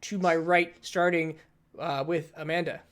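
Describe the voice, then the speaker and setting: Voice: mid-range voice, steady tone, unhurried, a bit bright. A dungeon master hosting a casual tabletop game stream, joking and self-deprecating, often stammering and restarting. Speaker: dungeon master and show host.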